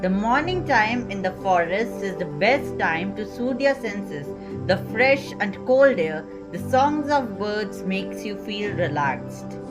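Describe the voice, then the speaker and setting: A woman's voice: medium pitch, lively intonation, slow pace. A woman with an Indian accent presenting a puppet show as a guide, reading scripted educational narration. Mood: earnest, informative. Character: puppet guide named Alak presenting Bihar. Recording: music behind the voice.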